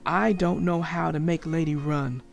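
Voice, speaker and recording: A woman's voice: low voice, steady tone, a little slow, gravelly, soft background music, voice pushed down. A woman voicing a lonely, sad old grandfather in a children's storybook, speaking in a subdued, sorrowful way.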